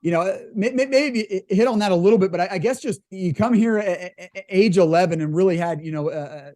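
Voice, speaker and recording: A man's voice: high-pitched, brisk, dark tone, dry recording, clean studio sound. A man hosting a podcast, chatty and full of fillers as he introduces and questions a guest. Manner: chatty, many fillers and restarts. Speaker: podcast host. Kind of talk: casual interview talk.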